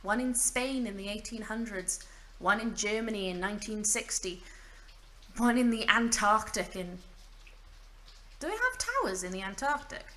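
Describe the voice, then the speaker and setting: A bright, clear-edged mage voiced by a woman, marooned alone for centuries in an audio drama. She rambles to herself with bitter, wry self-mockery and a fragile edge, over noticeable background noise. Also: medium pitch, very animated, normal speed, pauses often. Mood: bitter, distrustful. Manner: rambling, self-mocking asides, sighs. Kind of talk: audio drama monologue, naturalistic acting.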